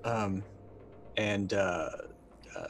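A man's voice: sits low, very slow, creaky. A middle-aged man playing a tabletop roleplaying game, narrating casually and thinking aloud in hesitant bursts. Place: indoor room, on a video call.

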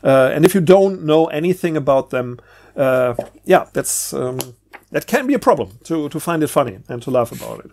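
A man with a slightly high voice and a strongly creaky edge talks casually, as a book vlogger would. He is hesitant and halting, circling back over his words as he rambles along. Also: lively intonation, a little mellow.

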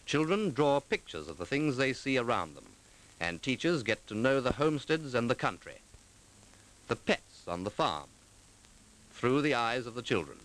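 Animated man narrating a vintage documentary. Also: medium pitch, low-quality recording. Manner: measured, formal, clearly enunciated. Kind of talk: 1940s documentary scripted narration. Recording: vintage 1940s film soundtrack.

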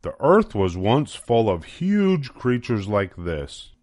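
A kindly, patient storybook schoolteacher, voiced by a man reading aloud. He explains things in a measured, low voice, recorded dry. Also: very animated, darkish tone, no echo. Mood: explaining.

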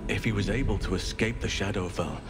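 Low-voiced man as an old elven druid, solemn, resolute, measured and formal, video game fantasy. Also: music in the background, lightly acted. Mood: earnest, urgent. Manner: grave, measured, formal phrasing. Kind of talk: video game character acting.

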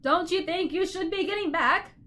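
A woman's voice: very high-pitched, moderate pace, pushed and bright, voice pushed up. A woman voicing a blustering, cowardly male bug in a storybook, with a fierce manner.

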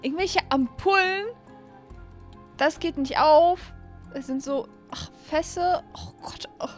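A young woman hosting an excited play-along game commentary, gushing and repeating phrases, speaking close to a desk microphone. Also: high-pitched, slow pace, soft background music. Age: young adult. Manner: mixes in English catchphrases. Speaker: YouTube gaming host. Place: small room at home, indoors.

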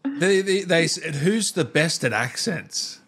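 A man's voice: on the high side, lively intonation, takes it slowly, gravelly, fairly bright tone, slightly rough. A man in his thirties hosting a casual interview, asking short questions into a desk microphone. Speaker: interviewer, show host. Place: small indoor streaming room. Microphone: desk microphone up close, headset on.

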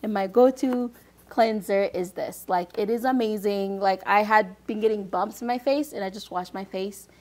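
A woman in her thirties from Haiti chats casually on a talk show set. She talks in a lively, loose way, with "like" in nearly every sentence. Her voice sits at a middle pitch, picked up close by a lapel mic. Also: moderate pace. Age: 30s. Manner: chatty, animated, lots of "like". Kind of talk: casual chat. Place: TV studio set, seated on sofa.

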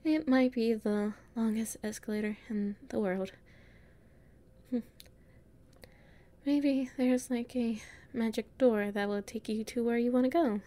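A woman acting a weary, anxious human exploring a castle in an audio roleplay, breathy and hesitant, muttering to herself. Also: on the high side, fairly even intonation, measured pace, mellow, natural voice. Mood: weary, musing.

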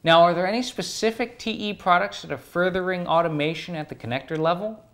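A man's voice: high-pitched, fast, fairly bright tone. A man in his forties hosting an interview and asking questions with an upbeat, enthusiastic manner. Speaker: host. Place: living room set.

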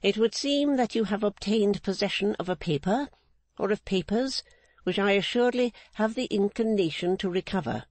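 A man's voice: high voice, lively intonation, darkish tone, no room sound, pitched above the performer's own voice. A man voicing a stern, resolute older woman who speaks firmly and defiantly. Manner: firm, measured, defiant.

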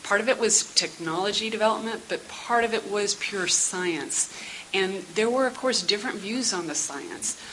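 A middle-aged woman giving prepared comments at a public council meeting in a measured, businesslike, formal but conversational way. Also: mid-range voice, a bit bright, low-quality recording. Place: indoor meeting room. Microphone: gooseneck table microphone. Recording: hissy meeting-room sound.

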